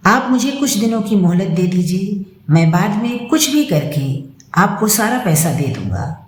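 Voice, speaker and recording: A woman narrator voices a distraught middle-aged father in an audio story. He speaks humbly and sorrowfully, at a slow pace. The room echo is clear. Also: mid-range voice, sing-song. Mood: pleading. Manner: pleading, sorrowful. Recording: roomy, echoing sound.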